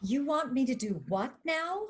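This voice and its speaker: A woman's voice: sits high, animated, a little slow. Woman playing a brusque TV star, faint noise. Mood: wary. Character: shrewd, reluctant, brusque female TV star and boss. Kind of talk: screenplay table read. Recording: faint background noise, online call.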